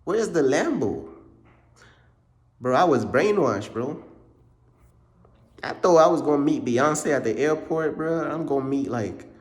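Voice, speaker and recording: A man's voice: fairly high pitch, lively intonation, measured pace, long pauses. A young man from Uganda telling his life story in a casual, rambling way, calling the listener "bro" over and over. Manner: laid-back, rambling, says "bro" constantly. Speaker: faith vlogger. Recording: echoey room.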